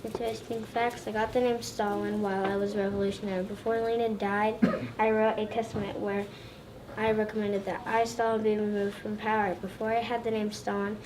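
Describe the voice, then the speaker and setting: Kid doing a school report, flat delivery, distant and noisy recording. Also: mid-range voice, a little fast. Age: child, about 8 or 9. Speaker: third grade student presenter. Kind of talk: recited school presentation as a historical figure. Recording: distant mic, loud room noise.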